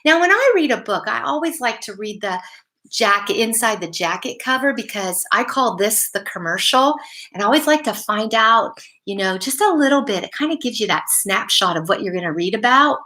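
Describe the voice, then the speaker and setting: A woman's voice: on the high side, expressive, normal speed, sharp tone. A woman reading bedtime stories and chatting to young children, often putting simple questions to them. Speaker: school story-time host reading to children. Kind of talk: read-aloud bedtime storytelling and chat to children.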